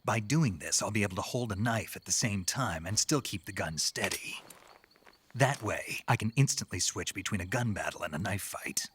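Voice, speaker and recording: A man's voice: fairly low pitch, animated, normal speed, fairly bright tone, slight background noise. An actor playing a wary, terse male soldier in a video game cutscene. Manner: terse, guarded.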